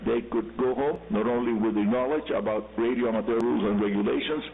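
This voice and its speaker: A man with a Cuban accent and Spanish as his first language, hosting a scripted radio show and addressing listeners directly and deliberately. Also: medium pitch, steady tone, vocal fry. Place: radio broadcast picked up on shortwave. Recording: hissy, humming narrow-band shortwave radio reception.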